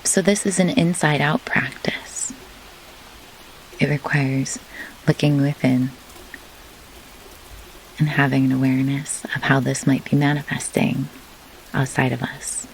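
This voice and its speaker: A woman leading a guided meditation in measured, deliberate phrases with pauses, as a host who works as a grief doula. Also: rumbling low, low-quality recording. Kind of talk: slow guided meditation instruction. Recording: clear pink-noise rain hiss behind. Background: pink noise like steady rain.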